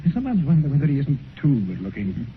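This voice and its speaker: An actor playing an earnest, sensitive gentleman painter in a 1950s radio play, confiding. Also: mid-range voice, huge pitch swings, quick pace, narrow, tinny audio. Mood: reluctant, confiding. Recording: noisy band-limited 1950s radio recording.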